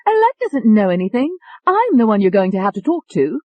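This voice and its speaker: A woman acting a brash, defiant, contemptuous young woman with an English accent and a sharp, taunting manner in a dramatized story. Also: on the high side, very animated, brisk, no echo. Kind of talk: dramatized audiobook dialogue.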